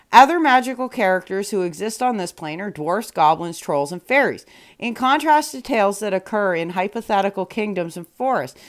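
A woman reads a storybook aloud as a storytime host. Her voice is mid-pitched, and she phrases each sentence in a measured, clear way. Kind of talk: scripted storybook narration.

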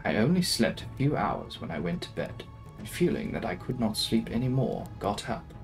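A young man giving a dramatic, brooding reading of a gothic novel, with theatrical, deliberate phrasing. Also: on the low side, big pitch swings, faint music behind, rough recording. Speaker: audiobook narrator.

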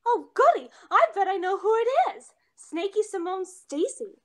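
A woman's voice: extremely high pitch, big pitch swings, normal speed, a bit bright, exaggerated voice. A young woman playing a brash, lovesick chorus girl with slangy, melodramatic tough talk in 1940s radio comedy style. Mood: excitable, scheming. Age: young adult. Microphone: seated before camera on video call.

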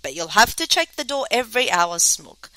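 A woman voicing a brisk, sceptical monster mother in a children's story, firm and scolding. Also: a little high, big pitch swings, sharp tone, no room sound.

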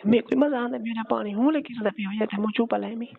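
A woman's voice: on the high side, quick pace, creaky. A woman with a Saraiki accent chatting casually and intimately as a caller on a recorded phone call. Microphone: telephone line.

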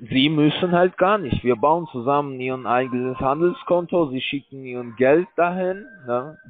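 Guy on a phone scam call, broken grammar, pushy and hesitant, mid pitch, sounds like a cheap phone line. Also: moderate pace, dry recording. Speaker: scam call center caller.

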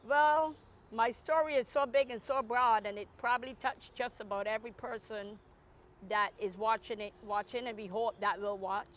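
Earnest Barbadian woman, slightly high voice. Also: normal speed. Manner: earnest, emphatic storytelling with restarts. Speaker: guest. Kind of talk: personal story in an interview. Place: outdoor garden. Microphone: lapel.

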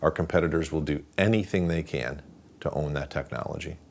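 Deep-voiced man in an earnest interview. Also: fairly quick, creaky. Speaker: battery scientist, interviewee. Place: interview set, dark backdrop.

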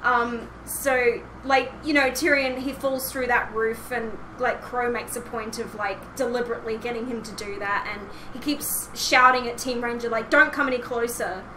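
A young woman with a fairly high voice chats casually as a podcast host. She rambles and hesitates through her points. The room echoes clearly and there is obvious background noise. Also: fairly even intonation, normal speed, hardly any pauses. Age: young adult. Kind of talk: casual chat, fan discussion. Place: small home room indoors, online call. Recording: roomy, noisy headset recording.

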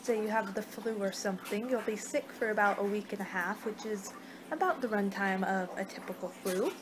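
A woman's voice: medium pitch, a little fast. Chatty young woman in noisy ASMR roleplay. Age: 20s. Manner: chatty, rambling, hesitant. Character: friendly young two-hundred-year-old female vampire hosting a guest. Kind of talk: ASMR roleplay, improvised in a casual conversational way. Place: small room at home. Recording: noisy home webcam recording.

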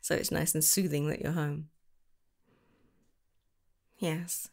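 Woman for an ASMR girlfriend roleplay: affectionate, gushing, slips into whispers, slight vocal fry. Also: deep voice, flat delivery, slow, darkish tone.

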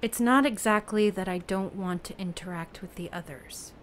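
A woman acting in an audio play as a wary, lonely ocean survivor, speaking plainly and haltingly. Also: mid-range voice, slight background noise, natural voice. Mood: anxious. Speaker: actor.

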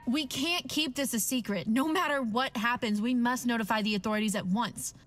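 A cautious doctor played by a woman with a fairly high voice. She sounds earnest and questioning, a serious skeptic in an old-time radio drama. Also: brisk, a bit bright, faint music behind, lightly acted. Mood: alarmed.

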